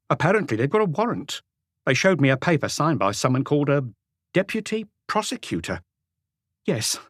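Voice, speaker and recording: A man's voice: on the high side, no room sound, clean studio sound, voice pushed up. A man voicing a suspicious, ill-tempered, defiant housewife who fires indignant questions in an audiobook. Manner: snappish, indignant, challenging questions. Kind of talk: audiobook character voice.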